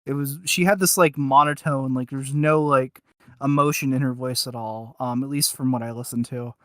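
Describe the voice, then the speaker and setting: A man's voice: mid-range voice, steady tone, normal speed, no echo, clean studio sound. A man co-hosting a podcast, chatting casually and off the cuff in a hesitant, rambling way full of filler words. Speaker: podcast co-host. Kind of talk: casual unscripted review chat.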